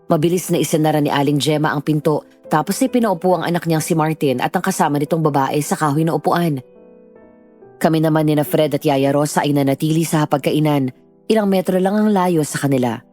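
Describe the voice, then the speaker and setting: A woman's voice: sits low, brisk, mellow, faint music behind. A woman giving scripted first-person narration of a horror story.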